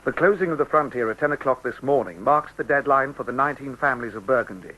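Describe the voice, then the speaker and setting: A man playing a brisk, formal radio news announcer with a British accent in an audio drama. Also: medium pitch, a bit bright, muffled recording, close to an everyday voice. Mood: urgent, formal. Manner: formal, clipped.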